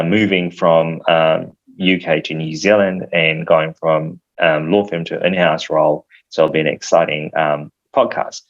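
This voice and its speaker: A man hosting a podcast interview, asking questions with frequent ums and pauses. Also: sits low, lo-fi sound. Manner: hesitant, lots of ums between phrases. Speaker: podcast host.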